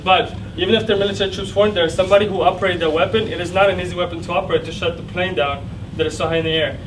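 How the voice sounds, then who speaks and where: A young man from central Ukraine with a Ukrainian accent, whose first language is Russian, giving a slide presentation in a classroom, explaining step by step with fillers and restarts. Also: on the high side. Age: young adult. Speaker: student presenter. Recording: distant mic with clear background noise.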